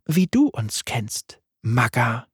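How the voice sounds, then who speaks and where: A man doing a soft, eerie lamb spirit. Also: medium pitch, takes it slowly, slightly rough, no echo, studio quality, heavily acted. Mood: soothing eerie. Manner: soft, lilting, calmly consoling. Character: gentle, eerie female death spirit, calm.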